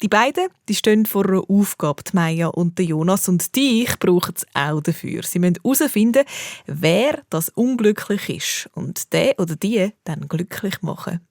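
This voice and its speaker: A woman's voice: mid-range voice, animated, moderate pace, a little mellow, no echo, clean studio sound. A woman with a Swiss accent introducing a children's story and addressing the young listener directly. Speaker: presenter.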